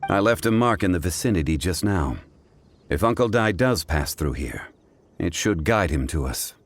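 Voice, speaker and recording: A man playing a composed, learned gentleman in a video game, grave and courteous with measured, formal phrasing. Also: low voice, lively intonation. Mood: calm.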